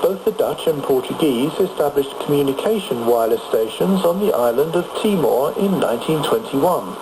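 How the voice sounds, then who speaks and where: Heavy noise from shortwave reception surrounds a man presenting a radio programme. He reads scripted documentary narration at a middling pitch.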